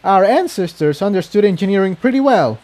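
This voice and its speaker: A man's voice: sits high, expressive, a little fast, a little mellow, pitched above the performer's own voice. A man voicing a shy but capable young female flying pony in a story reading. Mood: admiring.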